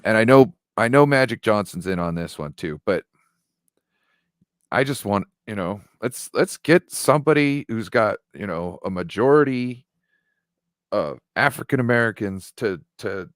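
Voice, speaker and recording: A man's voice: fairly low pitch, expressive, unhurried, dry recording, clean studio sound. A man co-hosting a sports fan show in casual, slangy banter, often checking in with the listener. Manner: slangy, informal, peppers talk with check-in phrases.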